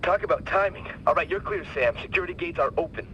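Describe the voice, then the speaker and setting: A man's voice: on the high side, quick pace, dull audio, slight background noise, close to an everyday voice. An actor playing a keen young male tech operator in a game, casual, wry and slightly nervous. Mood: focused, wry. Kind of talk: dramatic game voice acting.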